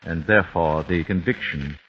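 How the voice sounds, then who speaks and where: A man's voice: sits low, moderate pace, close to an everyday voice. An actor playing a grim father avenging his son, formal and icily polite, in 1940s radio acting. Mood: cold, menacing. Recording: dull lo-fi old radio recording with faint hiss.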